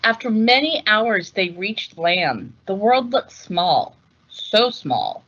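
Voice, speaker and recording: Bright-voiced woman reading aloud, slightly muffled recording. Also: mid-range voice, sing-song, takes it slowly, slightly rough. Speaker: read-aloud host. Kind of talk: scripted picture-book narration.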